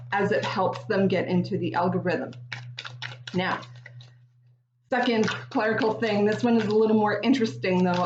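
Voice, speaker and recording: Casting: woman in her forties, slightly raspy, chatty tarot reader talking straight to viewers, dull echoey room recording. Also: mid-range voice, background noise. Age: 40s. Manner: chatty, frequent ums, addresses viewers directly. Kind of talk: casual tarot reading to camera.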